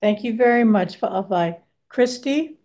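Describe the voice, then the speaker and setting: A woman runs a public meeting over a video call, calling on speakers with short, relaxed prompts. She talks slowly, in a fairly high voice, and stays easygoing throughout. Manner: slow, relaxed, brief cues, laughs easily. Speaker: meeting chair.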